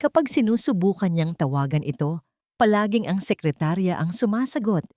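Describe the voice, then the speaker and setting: A woman's voice: on the low side, lively intonation, normal speed, darkish tone, no echo, studio quality. A woman storyteller reading a scripted romantic drama as a narrator.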